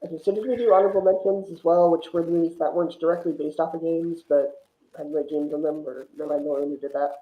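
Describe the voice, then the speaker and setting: A man's voice: a little high, steady tone, fast. A man on a podcast panel, casually discussing films in an echoey room with muffled sound.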